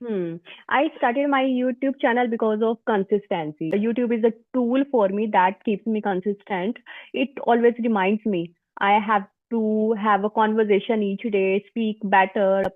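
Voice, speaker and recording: A woman's voice: mid-range voice, normal speed, no room sound, narrow, tinny audio. Indian woman explaining English mistakes. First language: Hindi. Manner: corrects and explains grammar, lively. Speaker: English-practice YouTuber. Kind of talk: casual conversation practice.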